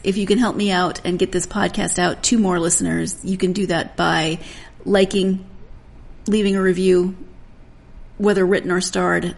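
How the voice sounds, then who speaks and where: Chatty middle-aged woman with a creaky voice. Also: fairly low pitch. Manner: rambling, self-deprecating, chatty. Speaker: podcast host. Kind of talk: casual chat to listeners.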